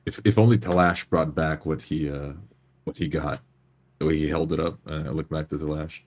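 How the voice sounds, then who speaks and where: A man's voice: rumbling low, fairly even intonation, a little fast, darkish tone. A man playing a tabletop roleplaying game, describing his character's actions and speaking in character.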